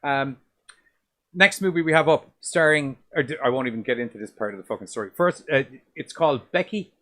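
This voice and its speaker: Male podcast co-host, deep voice, loose rambling delivery with casual swearing. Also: brisk, bright, forceful tone. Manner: sweary, rambling, restarts often. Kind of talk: casual movie review chat.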